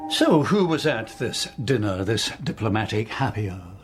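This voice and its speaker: An actor playing a shrewd intelligence officer in a radio drama, with a rough voice and a probing, sardonic, clipped manner. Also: male voice, on the low side, sing-song, moderate pace, over music. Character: shrewd, sardonic male British intelligence officer, needling interrogator.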